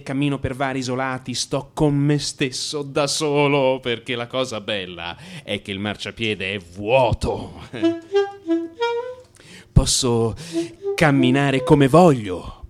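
A man's voice: medium pitch, lightly acted. An actor playing an anxious married man in crisis, confessing to his analyst in an agitated, emphatic radio theatre monologue. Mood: giddy relief. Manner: agitated, emphatic, swinging from hushed mutter to outburst.

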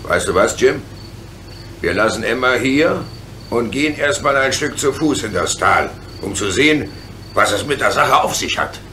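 Reassuring grown-up engine driver, unhurried adventurer's calm, children's radio drama, noisy outdoor-sounding backdrop. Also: male voice, medium pitch. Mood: resolute, calm. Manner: steady, matter-of-fact, reassuring. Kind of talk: children's radio play acting. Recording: noisy radio play with chirping ambience.

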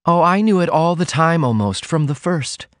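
A man voicing a shy, tender young woman in love, speaking softly and earnestly as in an audiobook. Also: on the high side, animated, moderate pace, no room sound, studio quality, voice pushed up, lightly acted. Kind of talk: audiobook character dialogue.

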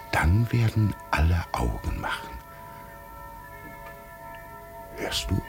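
Very deep, creaky, solemn old labourer. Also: male voice, exaggerated voice. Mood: mysterious, exalted. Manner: weighty, halting, mysterious. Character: solemn, humble ageing farm labourer with grandiose delusions. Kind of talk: 1950s German radio drama acting.

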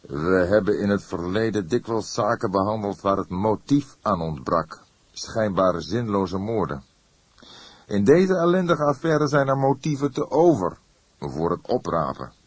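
A man voicing a grey-haired veteran detective in an audiobook, deliberate and dryly authoritative. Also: fairly low pitch, big pitch swings, breathy edge, no room sound, heavily acted. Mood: brooding. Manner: deliberate, dry, instructive.

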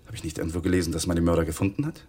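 A man's voice: sits low, little pitch movement, rapid-fire, dark tone, husky. An actor playing a nervous, polite middle-aged man in a radio play, hesitant and apologetic.

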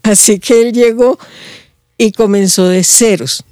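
A woman's voice: mid-range voice, steady tone, unhurried, warm tone, no room sound, studio quality. A woman in her sixties from Barranquilla with a Colombian Caribbean coast accent, a musician telling her story in an interview. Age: 60s. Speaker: musician guest. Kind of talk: interview conversation.

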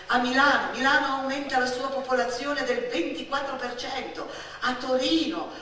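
Bright-voiced woman storyteller in echoing space. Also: a little high. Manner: expressive, emphatic, repeats words and lists. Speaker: actress, solo storyteller. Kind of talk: theatrical radio monologue, narrative storytelling. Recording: echoey stage sound, distant, music behind.

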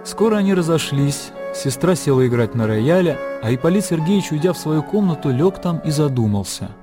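A man narrating a literary radio play in a measured, composed storytelling manner. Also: on the high side, expressive. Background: music.

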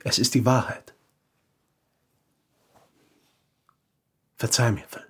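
Uneasy friend with a guilty secret, radio crime drama. Husky, measured, halting delivery. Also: male voice, mid-range voice, steady tone, a bit bright. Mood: sombre. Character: uneasy man confessing an affair to his friend.

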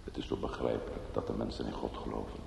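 An actor playing a grave, consoling older man in a Dutch radio drama, with a measured, paternal, philosophical manner. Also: rumbling low, flat delivery, gravelly, crowd noise, full character voice. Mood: grave, resigned. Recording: slightly noisy old radio recording.

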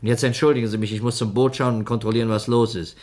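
An actor playing a stern, weary police inspector. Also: male voice, medium pitch, level tone, darkish tone, natural voice. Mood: measured. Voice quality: measured, admonishing. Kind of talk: 1960s German radio drama acting.

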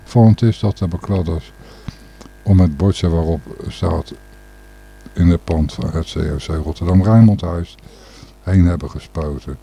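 A man's voice: low-pitched, a little slow, creaky, warm tone, a little hiss or hum. A man hosting an informal radio show, commenting on the news in a hesitant, rambling, opinionated way. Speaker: radio and podcast host.

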